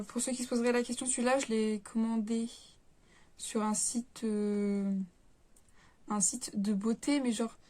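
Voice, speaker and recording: A woman's voice: a little high, darkish tone. A young woman chatting casually to her camera at home, reviewing a beauty kit and rambling with lots of fillers. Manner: rambling, lots of filler words and self-corrections. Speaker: beauty vlogger.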